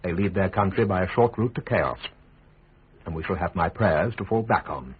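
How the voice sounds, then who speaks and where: An actor playing a principled, dry-witted middle-aged statesman and firm father in a measured radio drama style. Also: low voice, animated, husky, full character voice. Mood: calm, wry. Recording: tinny, band-limited radio drama recording.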